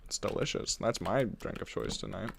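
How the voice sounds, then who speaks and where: A man's voice: on the low side, normal speed, vocal fry, a little hiss or hum. A man live-streaming a building game, chatting in a playful, rambling way with frequent chuckles, sounding congested. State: congested, runny nose. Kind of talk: casual live-stream chat while building.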